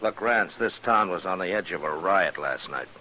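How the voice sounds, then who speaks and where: A steady, unflinching frontier lawman with a very low, forceful, bright-edged voice. He talks plainly and with measure, as in an old radio western. There is a light hiss over the recording. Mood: firm, unyielding. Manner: plain-spoken, measured, folksy. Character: firm, weary frontier US Marshal, steady and unflinching.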